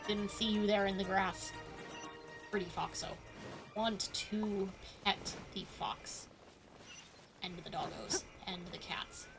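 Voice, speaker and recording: Woman casually streaming, medium-pitched voice. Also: fairly even intonation, slow, pauses often, over music, rough recording. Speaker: game streamer. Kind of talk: casual live gameplay commentary.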